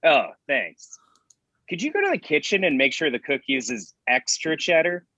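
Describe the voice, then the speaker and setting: A man playing a sly, casual friend in a comedy table read, halting in delivery, on slightly dull audio. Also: a little high, big pitch swings, vocal fry, sharp tone, full character voice. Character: sly, casual male friend secretly poisoning his rival.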